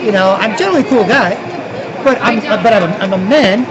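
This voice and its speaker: A man's voice: sits high, fairly quick, muffled recording, a crowd in the background, noisy background. A Canadian man from Toronto vlogging outdoors, rambling, restarting often and tagging lines with "right?". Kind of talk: rambling street vlog monologue.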